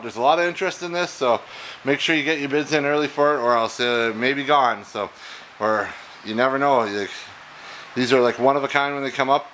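A man, an auctioneer chatting casually and excitably as he walks through lots in a warehouse, recorded on a handheld camera mic. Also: medium pitch, a little hiss or hum, poor audio.

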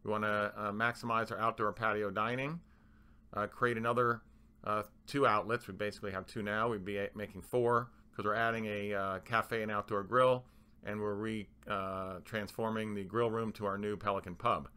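Male manager for presentation voice-over: bright, forceful, smooth tone, explanatory and somewhat halting. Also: lowish voice, fairly even intonation. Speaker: club manager presenting renovation plans.

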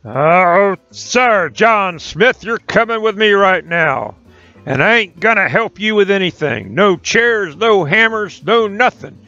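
A man's voice: high voice, lively intonation, slow pace, no room sound, soft background music, voice pushed up, full character voice. A man doing a blustering, boastful Satan. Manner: loud, threatening, folksy drawl. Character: blustering boastful male devil, Satan himself. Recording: dull lo-fi recording.

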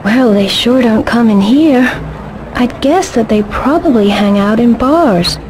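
A woman playing a caring young shop worker in a video game, with a soft, concerned delivery. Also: on the high side, expressive, measured pace, noisy background. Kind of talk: English video game voice acting.

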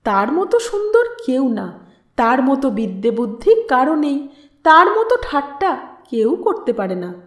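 A woman reading a literary story aloud as an audiobook narrator, in an expressive, dramatic way. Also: high voice, unhurried. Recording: roomy echo on the voice.

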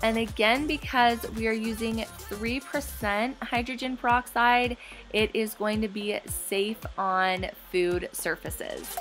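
A woman's voice: fairly high pitch, fairly even intonation, slow. A woman in her thirties giving a chatty, bubbly voice-over for a home cleaning tutorial, with light laughs. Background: soft background music.

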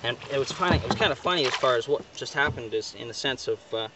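A man's voice: mid-range voice, expressive, fast, pushed and bright. A young man in a boat on a lake outdoors, chatting casually to the camera about his catch, upbeat and full of fillers. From northern Ontario. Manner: chatty, upbeat, fillers like right and you know. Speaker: fishing guide showing a catch. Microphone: camera held by a companion a few feet away. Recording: distant outdoor camera audio with noise.

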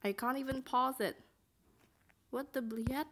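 Slow-paced woman dubbing a comic. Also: sits high, a bit bright. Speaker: amateur comic dubber. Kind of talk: reading a comic aloud with casual asides.

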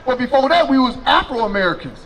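Middle-aged male street preacher, high voice, handheld mic outdoors, light street noise. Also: big pitch swings, fast talker, rough recording. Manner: loud, preaching with a handheld mic, questioning passersby. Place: city sidewalk outdoors by a fence.

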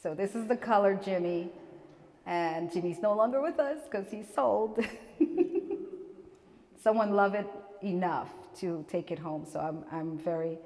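A middle-aged woman from Guyana giving a relaxed, chatty talk about her portraits, laughing often and restarting her sentences. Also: mid-range voice, unhurried, pauses often. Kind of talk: gallery artist talk. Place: small gallery room with seated audience, indoors. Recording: long reverb from the room.

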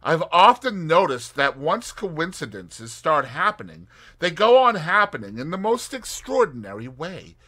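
A man reading an audiobook, voicing a brisk and determined young woman detective with emphatic, crisp speech. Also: on the high side, very animated, pushed and bright, pitched above the performer's own voice, full character voice.

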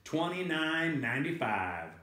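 Stubborn storybook cashier, played by a male reader, insistent, animated, roomy sound. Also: a little high, unhurried, pitched above the performer's own voice, heavily acted. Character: stubborn, matter-of-fact male store cashier.

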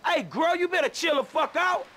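An actor playing a cocky, flirty, quick-tempered younger man in a video game cutscene, needling and indignant. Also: very high voice, lively intonation, sharp tone, a little hiss or hum, exaggerated voice.

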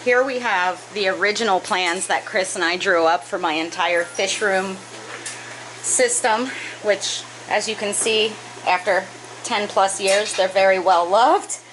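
A woman in her thirties chats through a building project in a basement room, her voice mid-pitched and easygoing. She explains each step plainly, dropping in the odd "um" and "uh". A faint noise sits under her voice. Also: measured pace. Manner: chatty, explains step by step with fillers. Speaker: aquarium hobbyist vlogger. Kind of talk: casual explanatory narration. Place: basement fish room, indoors.

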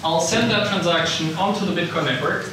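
A young man from the Italian-speaking part of Switzerland, with a Swiss accent, giving a relaxed, self-deprecating seminar talk full of ums and uhs, recorded in a lecture room. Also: high voice, brisk. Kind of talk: technical lecture to a live audience. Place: lecture room with a projector screen. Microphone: far room camera, no visible mic. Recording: echoey room, distant mic, background noise.

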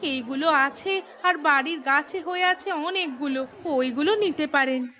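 A woman's voice: sits high, normal speed, sharp tone. A woman playing a polite, humble village housewife who sells vegetables in a cartoon. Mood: pleased, grateful. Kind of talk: cartoon voice acting.

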